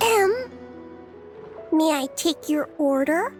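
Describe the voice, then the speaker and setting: A woman voicing an eager, imaginative little child in a video game, with an earnest, excitable childlike voice. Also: sits high, slow, music in the background. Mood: playfully formal. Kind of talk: anime-style video game voice acting.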